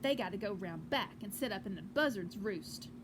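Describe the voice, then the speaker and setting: A woman's voice: medium pitch, expressive, normal speed, background noise, pitched below the performer's own voice, lightly acted. A woman voicing a bossy older sister, a girl in a storybook who scolds harshly through clenched teeth. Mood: scolding.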